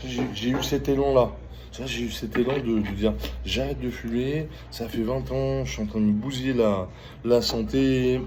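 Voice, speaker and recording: A middle-aged man chatting casually as he gives a tarot reading, halting and repeating phrases, often checking in with the listener. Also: medium pitch, fairly even intonation. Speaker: tarot reader. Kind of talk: casual spoken tarot reading with personal chat. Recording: clearly noisy ambient background.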